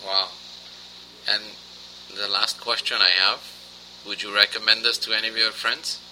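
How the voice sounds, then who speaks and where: A man's voice: on the low side, little pitch movement, normal speed, bright, forceful tone, a little hiss or hum, low-quality recording. A man hosting a radio interview, asking questions and reacting briefly. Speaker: radio host.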